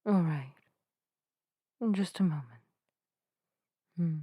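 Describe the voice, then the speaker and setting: A gentle witch voiced by a woman speaks softly in a warm, relaxed tone, very close and dry on the microphone. The delivery is earnest and soothing, with quaint, formal phrasing suited to an intimate ASMR roleplay. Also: lowish voice, slow, husky, no room sound. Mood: apologetic, soothing. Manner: soft-spoken, soothing, old-fashioned formal phrasing. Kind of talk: narrative ASMR roleplay addressed to the listener. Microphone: close microphone in a dry space.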